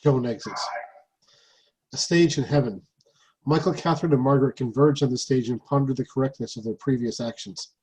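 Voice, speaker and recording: A man with a husky voice reading out stage directions at a table read of a play. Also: mid-range voice, fairly quick, darkish tone, low-quality recording. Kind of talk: scripted narration at a table read.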